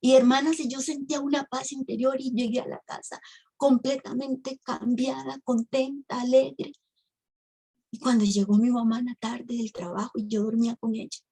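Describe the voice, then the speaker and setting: A woman's voice: on the high side, flat delivery, moderate pace, warm tone, breathy edge, rough recording. A woman, telling her religious testimony emotionally to a women's ministry. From Costa Rica. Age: 50s. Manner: emotional storytelling, addresses the audience as sisters.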